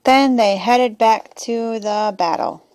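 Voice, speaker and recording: A woman's voice: on the high side, slow, darkish tone, slight background noise. Woman reading a children's storybook aloud.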